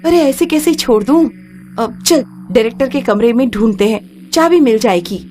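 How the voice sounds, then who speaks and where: A woman's voice: fairly high pitch, expressive, moderate pace, a little mellow, soft background music, close to an everyday voice. A woman voicing a bold, curious young museum worker in a horror story, impatient and teasing. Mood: teasing, insistent. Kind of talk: animated horror story character acting.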